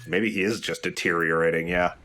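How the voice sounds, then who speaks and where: A man's voice: sits low, steady tone, a little fast, bright, forceful tone, a little hiss or hum. A young man giving casual, explanatory video game commentary with some swearing. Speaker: let's play host and player.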